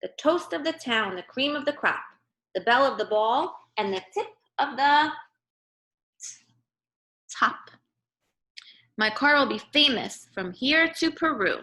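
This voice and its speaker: Woman, early-grade teacher, bright crisp-edged voice, slightly high, instructive, read-aloud lesson delivery. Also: expressive, unhurried, long pauses, rough recording. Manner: instructive, checks in with "okay" and "right?". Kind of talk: read-aloud and remote lesson for young children.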